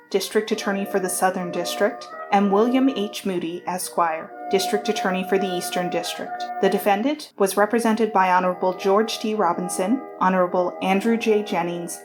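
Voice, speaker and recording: A woman's voice: medium pitch, fairly even intonation, normal speed, hardly any pauses. Female trial-drama narrator over music.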